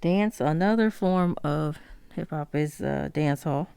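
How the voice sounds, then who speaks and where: A woman hosting a solo music history podcast, talking haltingly with many pauses, fillers and self-corrections. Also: low voice, gravelly, warm tone, clean tone, dry recording. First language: not Spanish. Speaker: podcast host.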